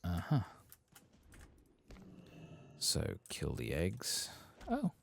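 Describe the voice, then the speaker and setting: Male ASMR gamer, very low creaky voice, soft muttered play-by-play. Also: wildly swooping pitch, drawn-out words, slight background noise. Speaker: ASMR gaming streamer. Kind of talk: soft-spoken ASMR game playthrough commentary.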